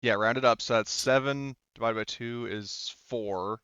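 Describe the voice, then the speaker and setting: A man's voice: mid-range voice, moderate pace, bright, forceful tone, clean tone, no echo, lo-fi sound. A man running a casual online tabletop game as game master, talking through rules informally. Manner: informal, sweary.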